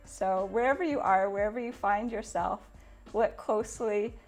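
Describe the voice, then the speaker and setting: A woman in her forties with a Canadian accent speaks in an earnest, reflective way. She takes her time and fills her pauses with soft ums as she explains her church role. Her pitch sits in an ordinary middle range for a woman. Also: faint music behind. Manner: earnest, unhurried, frequent ums. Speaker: diocesan creation care enabler. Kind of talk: reflective interview answers.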